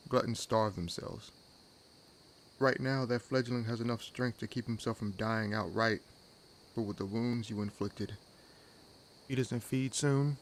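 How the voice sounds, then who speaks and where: Man acting deep-voiced, sardonic old vampire, crickets behind. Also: lightly acted. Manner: dry, sardonic, musing, unhurried pauses. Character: centuries-old male vampire, wry, world-weary, sardonic companion. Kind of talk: audio roleplay acting addressed to the listener. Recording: clear background hiss and night ambience. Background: added forest ambience with crickets and insects.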